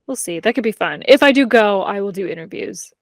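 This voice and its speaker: A woman's voice: medium pitch, expressive, brisk, no room sound, clean studio sound. A woman chatting casually and candidly about books as a podcast co-host, leaning on "like" as she talks. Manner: informal, candid, heavy use of "like". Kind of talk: casual book chat between friends.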